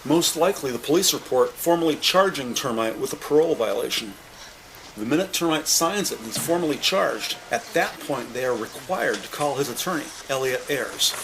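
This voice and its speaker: A man reading scripted, deliberate and detailed narration for an audio-described police drama. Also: medium pitch, animated, fairly bright tone, a little hiss or hum, low-quality recording.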